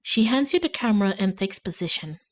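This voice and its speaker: A woman's voice: mid-range voice, animated, fairly quick. A woman streamer chatting casually and reading a game's story aloud on a livestream. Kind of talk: casual game livestream chat and read-aloud.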